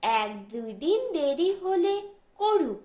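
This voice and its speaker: A woman narrates a story in a distinctly high voice. She reads slowly and deliberately, as scripted narration. The room adds a clear echo around her words. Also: big pitch swings, warm tone. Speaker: audiobook narrator.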